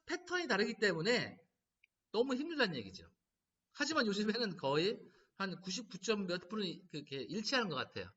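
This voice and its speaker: Middle-aged man, laughter therapy lecturer, bright lively voice, distant lo-fi sound. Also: sits high, slightly rough. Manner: lively storytelling, animated quoting. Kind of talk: lecture to caregivers. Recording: distant mic, dull lo-fi sound.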